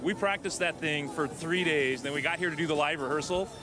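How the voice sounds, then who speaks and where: A man's voice: on the high side, a little fast, over music. A man being interviewed at a live event, telling a self-deprecating story with animation. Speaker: guest, creative director. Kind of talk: interview anecdote.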